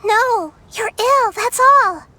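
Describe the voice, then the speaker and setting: A small, wise girl deity speaks in a very high, tender voice over clear game music. She is thoughtful and earnest, like a caring child sage in a fantasy game. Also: slow pace, bright, forceful tone, exaggerated voice. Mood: urgent. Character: gentle, earnest young girl god of wisdom. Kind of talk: video game voice acting.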